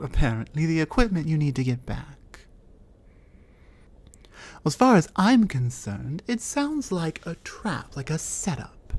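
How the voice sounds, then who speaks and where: A large, territorial female cave troll, as a woman plays her in an ASMR audio roleplay. She talks low and slow, with a dark, mellow tone. Her manner is scornful and lecturing. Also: exaggerated voice.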